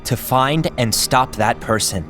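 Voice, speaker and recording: A man's voice: medium pitch, a little slow, close to an everyday voice. A man playing a confident, secretive apprentice in a dramatic video game cutscene, speaking earnestly. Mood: grave, determined. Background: music.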